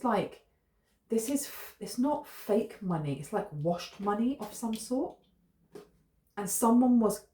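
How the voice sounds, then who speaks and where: A woman's voice: mid-range voice, warm tone. A British woman giving a casual tarot reading to camera, thinking aloud in a meandering, musing way with fillers. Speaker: tarot reader. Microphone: camera close in front. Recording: echoey room.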